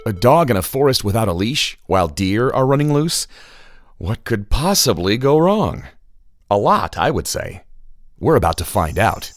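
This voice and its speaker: A man's voice: on the low side, big pitch swings, moderate pace, no room sound, soft background music. A man narrating a children's audio adventure as a wry, teasing storyteller who builds suspense. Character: wry, teasing storyteller addressing young listeners. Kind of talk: scripted narration.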